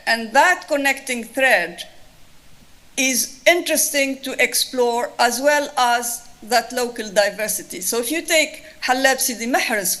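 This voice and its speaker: Tunisian-accented woman lecturer, fairly high voice, thoughtful and halting. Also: a bit bright. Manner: thoughtful, restarts often, many fillers. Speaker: lecturer on Islamic art. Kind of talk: answering an audience question at a lecture.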